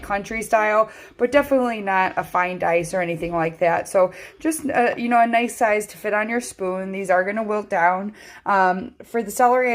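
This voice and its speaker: A woman hosting a casual home cooking tutorial from her kitchen, rambling and chatty with lots of ums, filmed on a handheld phone. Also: medium pitch. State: feels her voice sounds off. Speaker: home cooking channel host.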